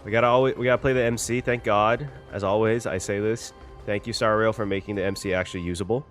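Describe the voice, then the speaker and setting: A man doing casual, expressive game commentary as a reaction streamer, swearing casually and exclaiming in dismay. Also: lowish voice, fast. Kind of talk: casual game commentary, reading story text aloud. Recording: game music behind the voice.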